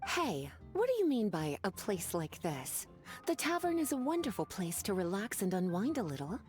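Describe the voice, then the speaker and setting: A woman's voice: mid-range voice, sing-song, normal speed, darkish tone, faint music behind, close to an everyday voice. An actress playing a flirtatious, teasing woman in a video game, with a playful, coquettish delivery. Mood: playful complaint. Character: flirtatious, teasing, languid grown woman who loves relaxing.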